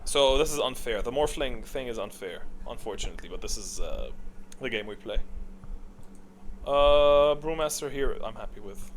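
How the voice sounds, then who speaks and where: A man's voice: mid-range voice, expressive, moderate pace, a little hiss or hum. A man giving casual, off-the-cuff commentary as he ranks things, thinking aloud and repeating short phrases. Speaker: video creator ranking game heroes.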